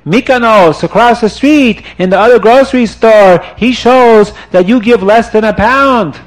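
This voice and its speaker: A man voicing a gigantic, hot-tempered male customer who shouts in broken English, high and loud. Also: unhurried, poor audio. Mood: furious.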